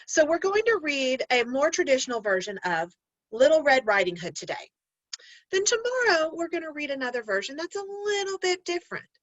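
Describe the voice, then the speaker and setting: Female primary teacher for storybook read-aloud, lively swooping delivery, instructive, on a dull, dry lo-fi recording. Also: high voice, brisk, no room sound. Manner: expressive, instructive, addressing children directly. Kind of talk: read-aloud lesson to young learners, storybook narration.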